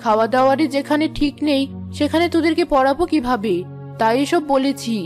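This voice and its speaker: A weary mother in a children's cartoon , acted by a woman. Her voice is high, and she speaks with worry and resignation. Soft music plays faintly underneath. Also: fairly quick, close to an everyday voice. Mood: worried, resigned. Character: weary, worried mother of a poor family.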